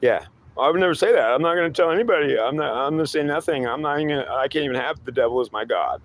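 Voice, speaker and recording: A man's voice: a little high, expressive, very fast, slight background noise, natural voice. A man voicing a naive, anxious boy who pleads and protests hesitantly. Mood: apologetic.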